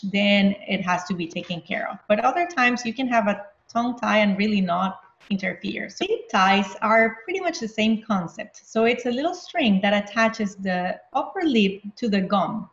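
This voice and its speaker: A woman pediatrician from Venezuela, in her forties, calmly explaining baby care in an interview. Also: medium pitch. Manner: calm, explanatory, plain speaking. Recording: roomy, slightly dull sound.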